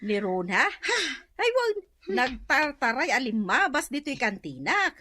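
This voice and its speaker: Gossipy neighbor lady, filipino accent, kinda high, dry sound. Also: unhurried, no room sound, heavily acted. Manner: gossipy, lively. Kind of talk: comedic radio drama acting.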